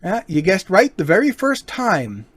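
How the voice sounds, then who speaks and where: An actor playing a suave, courteous gentleman burglar with a coolly threatening manner in an old-time radio crime drama. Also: sits high, huge pitch swings, normal speed, heavily acted. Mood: smug, cool. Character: suave, polite but menacing male gentleman burglar.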